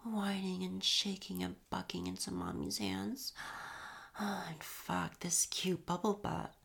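Young woman whispering close, slow and teasing, low voice with some gravel in it. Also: sing-song. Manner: soft teasing whisper with breathy murmurs and chuckles. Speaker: audio roleplay performer. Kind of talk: intimate whispered audio roleplay.

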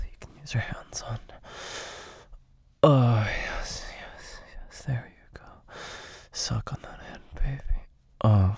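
A man doing an intimate audio roleplay, talking in soft whispers broken by low moans and sighs, close to the microphone. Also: slightly rough, no echo.

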